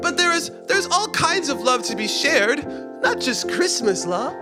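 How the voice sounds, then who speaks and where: A man's voice: very high voice, very animated, pitched above the performer's own voice, heavily acted. An earnest, exasperated everyman, played by a man, pleading in a raised, swooping voice. He stammers and stresses his words in a comic audiobook, with music behind. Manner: pleading, halting, stammering, emphatic.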